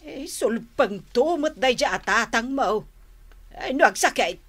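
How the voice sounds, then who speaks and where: A woman's voice: on the high side, animated, unhurried, husky. A woman with an Ilocano accent playing a bossy, scolding older mother and wife in a radio drama. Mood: scolding, angry. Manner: scolding, fussing.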